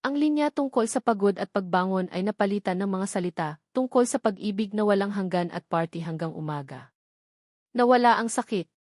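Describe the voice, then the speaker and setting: Female storyteller, Filipino accent, scripted dramatic narration, mid-pitched, very little room sound. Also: animated, fairly quick, a little mellow, dry recording, clean studio sound.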